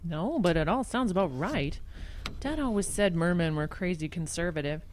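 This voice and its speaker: Woman playing a wry, animated sidekick friend. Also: on the low side, normal speed, slight background noise, close to an everyday voice. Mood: amused, matter-of-fact. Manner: casual, wisecracking. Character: wry, amused, crazy best friend sidekick. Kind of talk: comic audio drama acting.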